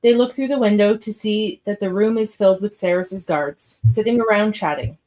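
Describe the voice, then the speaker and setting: A woman's voice: mid-range voice, normal speed, darkish tone, low-quality recording. Female narrator, mid-range voice, reading scripted stage directions for a live radio drama.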